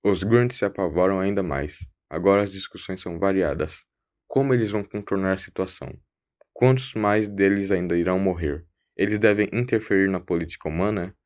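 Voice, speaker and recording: Low-voiced man narrating an audio drama. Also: fairly quick, a little mellow.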